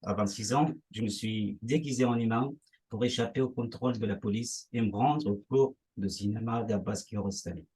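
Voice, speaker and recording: A man reading his own life story aloud in measured, line-by-line phrases. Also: lowish voice, darkish tone, breathy edge. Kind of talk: reading a prepared text aloud.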